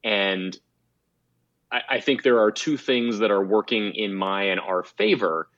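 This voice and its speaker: A man with a deep voice, a guest in a casual interview, speaking in a measured, reflective and frank way. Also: a bit bright, no room sound, dull audio. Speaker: guest, motorsport site founder.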